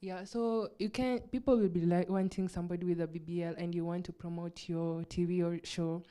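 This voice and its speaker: A woman's voice: on the low side, steady tone, brisk, mellow, smooth. A young Kenyan woman with a Kenyan accent, an actress chatting frankly as a guest and laughing often. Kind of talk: casual interview chat.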